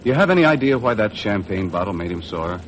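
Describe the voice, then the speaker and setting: An actor playing a laconic boat skipper with a dry, understated American delivery, in 1950s radio drama style. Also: male voice, lowish voice, big pitch swings, quick pace. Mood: frank, sympathetic. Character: laconic boat skipper narrating his own adventure. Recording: noisy vintage 1950s radio recording.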